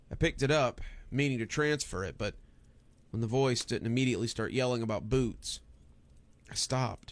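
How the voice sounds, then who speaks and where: Gravelly man narrating horror. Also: mid-range voice. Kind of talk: scripted first-person horror narration.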